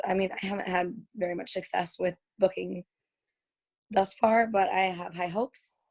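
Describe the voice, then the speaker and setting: A hoarse woman speaks on a team video call, her voice raspy and gravelly. She talks casually and pokes a little fun at herself. The sound is dry and close. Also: medium pitch, darkish tone, dry recording. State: hoarse after working a night shift.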